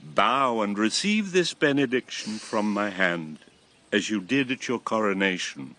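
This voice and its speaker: A man's voice: lowish voice, lively intonation, measured pace, pushed and bright. An actor playing an old former king and grandfather, a solemn, impassioned convert to a god, in a classical radio drama. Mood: solemn urging. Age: old, past retirement. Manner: solemn, impassioned, theatrical delivery.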